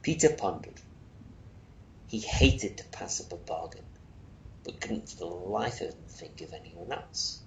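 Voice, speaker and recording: A man narrates a short story in a low, gravelly voice. His reading is expressive, and it is recorded in an echoey room with a little noise behind it. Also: measured pace, long pauses. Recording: roomy echo, faint noise, rumble.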